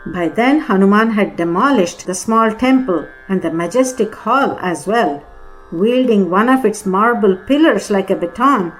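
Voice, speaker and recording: A woman giving scripted, measured narration of a devotional epic audiobook. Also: mid-range voice, low-quality recording. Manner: measured storytelling. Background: Carnatic music with sitar.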